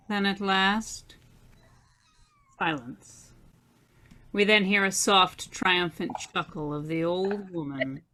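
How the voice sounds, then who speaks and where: A woman narrating and announcing an old-time radio drama in a measured, scripted delivery. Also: lowish voice, bright, forceful tone, a little hiss or hum, rough recording.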